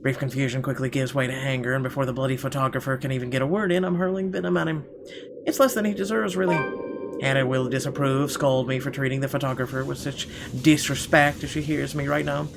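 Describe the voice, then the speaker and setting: A man with a medium-pitched voice reads first-person story narration aloud. He delivers it theatrically and occasionally stumbles on a word, with light music underneath. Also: steady tone, fast talker, creaky. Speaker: gaming video host. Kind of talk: dramatic reading aloud of visual novel narration. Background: game music.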